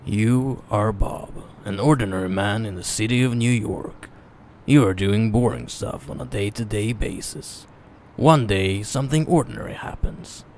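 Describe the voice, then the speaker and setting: A man narrating a story as a dramatic reading, theatrical in manner with deliberate pauses. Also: fairly low pitch, a little mellow, a little hiss or hum.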